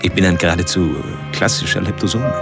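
A very deep, gravelly, brooding killer. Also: male voice, loud music behind the voice. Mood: brooding, clinical. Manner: measured, intellectual, pompous diction. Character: brooding, self-declared monster, lean male killer narrating his confession. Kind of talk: radio drama inner monologue.

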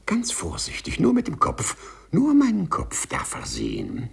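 Gravelly, wildly swooping vengeful office clerk. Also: male voice, on the high side, huge pitch swings, unhurried, whispered, full character voice. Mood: scheming glee. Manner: theatrical, muttering to himself, mock-servile. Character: meek male office clerk turned spiteful, gleeful avenger. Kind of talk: 1950s German radio play acting. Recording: 1950s radio production.

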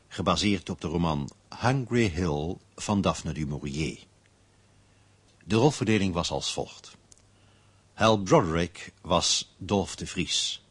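A man reading scripted radio drama credits as a formal, measured announcer. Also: low-pitched, breathy edge, no room sound.

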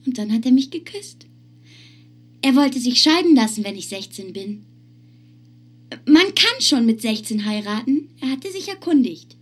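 A high-voiced little girl of eight, dreamy and earnest. She takes her time over each phrase, as in a radio play. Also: animated, slightly rough. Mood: dreamy, proud. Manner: slow, childlike, earnest. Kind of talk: German radio drama acting.

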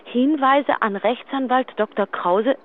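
A woman's voice: sits high, takes it slowly. An actress playing a chatty, gossipy woman friend leaving an answering machine message in a German radio drama. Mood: urgent. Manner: gushing, theatrical, hesitant asides. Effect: answering machine phone message. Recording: thin phone line.

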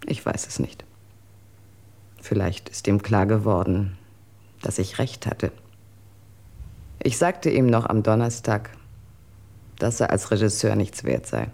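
An actress in a German radio drama playing a proud, cold wife in a wheelchair, with a cutting, sardonic, articulate delivery. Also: female voice, rumbling low, expressive, slow pace, vocal fry, long pauses, warm tone, heavily acted. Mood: cold, defensive.

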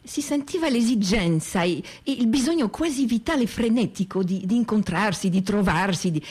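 A woman with a husky voice giving theatrical recollections in a radio piece. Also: medium pitch, animated, moderate pace, a little mellow. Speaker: radio theatre performer and reader. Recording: faint music behind the voice.

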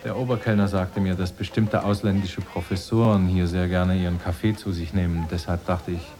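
An actor in a German radio drama playing a polite, insinuating stranger who speaks formally and deliberately. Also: male voice, low-pitched, level tone, music in the background, close to an everyday voice.

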